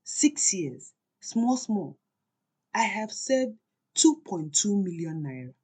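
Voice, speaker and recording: Bolanle, grave husky wife plotting secretly voiced by a woman. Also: medium pitch, big pitch swings, takes it slowly, mellow, heavily acted. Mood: proud, secretive. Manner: solemn, conspiratorial. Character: Bolanle, grave, defiant, long-suffering secretive Nigerian wife.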